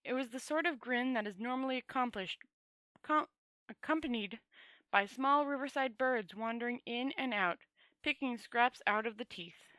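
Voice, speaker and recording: A woman's voice: fairly high pitch, bright, forceful tone, dry recording. A woman reading a fantasy novel aloud as an amateur, stumbling and rereading, with casual asides. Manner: halting, often stumbling over words and rereading. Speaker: amateur audiobook reader.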